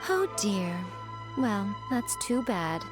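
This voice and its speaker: A woman's voice: medium pitch, big pitch swings, takes it slowly. A woman voicing a sly, playful female shrine priestess who drawls and teases in a video game. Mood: bored. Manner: teasing, amused, drawling. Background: game background music.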